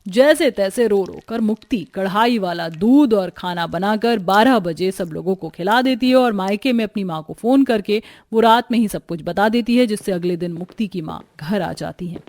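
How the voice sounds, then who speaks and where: A woman's voice: medium pitch, normal speed, hardly any pauses, a little mellow, no echo. A woman giving scripted narration for a cartoon family story.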